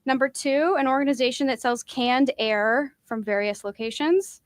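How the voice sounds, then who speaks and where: A woman hosting a podcast quiz game, casually reading out each round and chatting in between, sometimes clearing her throat. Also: high voice, normal speed, no room sound.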